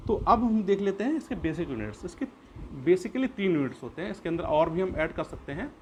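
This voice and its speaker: Male teacher, fairly high pitch; explains step by step with rhetorical questions, light noise behind the voice. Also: big pitch swings, a little fast, a little mellow. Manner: repeats and rephrases points, poses questions then answers them. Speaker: school teacher. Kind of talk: explanatory classroom-style lesson. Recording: clear background noise.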